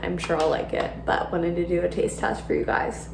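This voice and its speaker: A woman's voice: fairly low pitch, steady tone, moderate pace, creaky, noisy background. A young woman vlogging casually, chatty and upbeat, sounding congested from a cold. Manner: chatty, upbeat, lots of "like" fillers. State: congested, stuffy nose. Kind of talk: casual vlog chat to camera.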